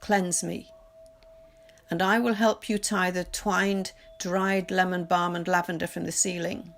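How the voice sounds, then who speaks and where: Slow-paced woman reciting poetry, slightly creaky voice. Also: fairly low pitch, flat delivery, a bit bright. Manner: deliberate, pausing phrase by phrase. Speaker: poetry reader. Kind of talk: measured literary poetry recitation. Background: music.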